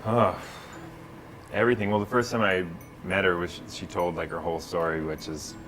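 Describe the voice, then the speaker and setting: A man's voice: low voice, little pitch movement, a little fast, background noise. A man in his thirties, a filmmaker interviewed on a TV show, talking hesitantly, laughing and trailing off, on a lapel mic. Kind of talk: casual interview talk. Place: TV studio set.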